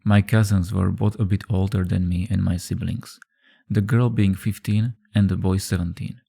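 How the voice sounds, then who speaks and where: Deep gravelly male horror narrator. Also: flat delivery, moderate pace, mellow. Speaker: creepypasta narrator. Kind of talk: scripted horror story narration. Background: background music.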